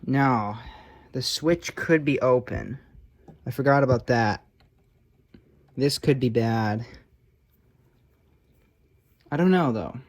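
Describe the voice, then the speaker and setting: A man's voice: medium pitch, slow, pauses often. A man giving casual, unscripted commentary on his own hands-on repair, offhand and thinking aloud as he works. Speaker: hobbyist restorer presenting his own repair video.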